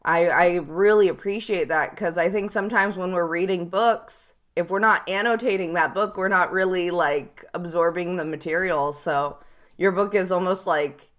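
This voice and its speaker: A woman's voice: on the low side, normal speed, fairly bright tone. A woman hosting a podcast, asking questions in an enthusiastic, encouraging way. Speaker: podcast host. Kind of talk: podcast interview.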